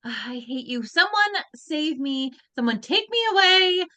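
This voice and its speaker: Woman reading a teenage girl, lively up-and-down voice, a bit high, video-call table read. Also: measured pace. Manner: lively, swings between whiny protest and grand recital, breaks off to laugh at slips. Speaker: voice actor reading the lead teenage girl role. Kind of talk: live read-through over video call. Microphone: video call microphone.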